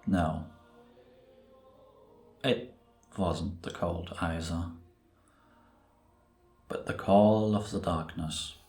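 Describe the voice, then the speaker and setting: Very slow, deep, solemn priest acted by a man. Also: fairly even intonation, a little mellow. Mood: haunted, brooding. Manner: solemn, deliberate, ominous, with long pauses. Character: grave, brooding male priest recounting a dark tale. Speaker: voice actor in an audio drama. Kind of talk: cinematic dramatic storytelling in audio roleplay. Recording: roomy echo on the voice.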